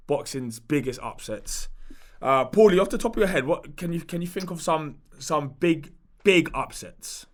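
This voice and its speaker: A man's voice: fairly high pitch, fairly bright tone. A man hosting a podcast, upbeat and steering a casual chat. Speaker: podcast host.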